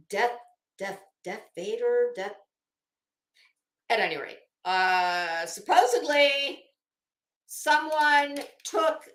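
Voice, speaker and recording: A woman with a fairly high, sharp and forceful voice chats casually as a livestream host. She is blunt and sarcastic, salty-tongued and emphatic. The sound is roomy and echoing. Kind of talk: casual livestream chat and commentary, greeting viewers. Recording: roomy, echoing home recording.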